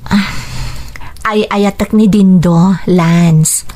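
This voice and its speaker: A woman's voice: fairly low pitch, steady tone, drawn-out words, dark tone. Earnest young woman in love. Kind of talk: Ilocano radio drama acting.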